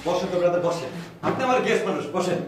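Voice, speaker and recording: An actor playing a genial, talkative, curious husband hosting a guest in a TV drama, with a Bangladeshi Bengali accent and a familiar manner. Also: male voice, high voice, fast, background noise. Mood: hospitable. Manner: animated, inquisitive, familiar. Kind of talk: TV drama dialogue. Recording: echoey room, music underneath.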